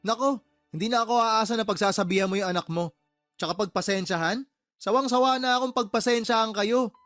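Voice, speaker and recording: A man's voice: high-pitched, fairly quick, a bit bright, no room sound, faint music behind. A man playing a fed-up, exasperated uncle and boss in a Tagalog radio drama, scolding his sister with heated, sarcastic questions. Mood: angry, fed up.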